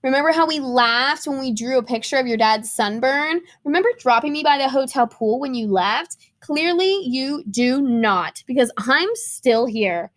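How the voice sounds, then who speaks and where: Woman as a perky, reproachful, high-pitched forgotten crayon. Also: lively intonation, normal speed, few pauses. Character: chatty, forgotten neon red crayon, breezily resentful.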